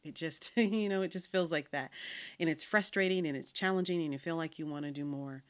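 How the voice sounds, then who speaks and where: A woman's voice: deep voice, a little fast. A middle-aged woman hosting a podcast, talking earnestly in a reflective monologue with many fillers and restarts. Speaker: podcast host.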